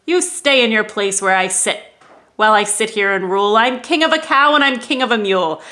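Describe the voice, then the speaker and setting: A woman voicing a pompous, bossy male turtle king who shouts commands and brags. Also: a little high, animated, measured pace, exaggerated voice.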